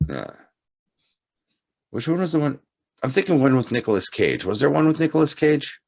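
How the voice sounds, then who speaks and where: A man's voice: fairly high pitch, wildly swooping pitch, moderate pace, vocal fry, a little mellow. A man hosting a casual chat in a conversational, agreeable way. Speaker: host.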